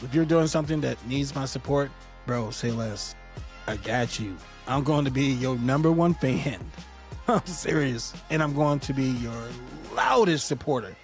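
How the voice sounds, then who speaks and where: A man's voice: medium pitch, lively intonation, measured pace, soft background music. A man co-hosting a podcast in casual chat, laid-back and full of slang, laughing often. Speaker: podcast co-host.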